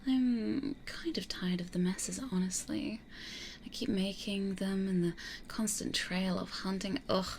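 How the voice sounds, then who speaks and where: A woman playing a weary, wary vampire in a hushed, dryly grumbling ASMR roleplay whisper. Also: mid-range voice, fairly even intonation, a little slow, slight background noise, lightly acted. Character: weary, wary female vampire with charm powers. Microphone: close studio condenser or binaural mic.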